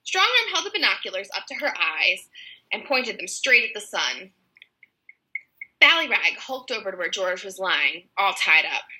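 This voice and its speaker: A woman narrating a children's book aloud with an expressive storyteller's delivery. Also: mid-range voice, moderate pace, low-quality recording.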